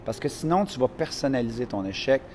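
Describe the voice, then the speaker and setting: Animated Quebec French storyteller man. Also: mid-range voice, a little fast, slight background noise. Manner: animated, acts out scenes and quotes. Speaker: motivational speaker, guest. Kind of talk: casual storytelling chat.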